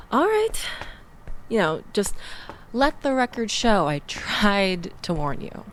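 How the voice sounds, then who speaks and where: A woman playing a shaken, chatty young woman in an intimate audio roleplay, rambling with wry asides and breathy sighs as she confides in the listener. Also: mid-range voice, a little hiss or hum. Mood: exasperated, chatty. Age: young adult. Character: shaken, chatty young woman escaping a bad date, self-deprecating and grateful.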